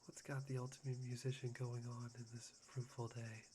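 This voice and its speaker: A man playing a soft-spoken, eerily polite young man obsessed with hope, musing breathily in an ASMR roleplay. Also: medium pitch, little pitch movement, a little fast, slight background noise, close to an everyday voice. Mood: polite curiosity. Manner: breathy, polite, musing, often near whispering.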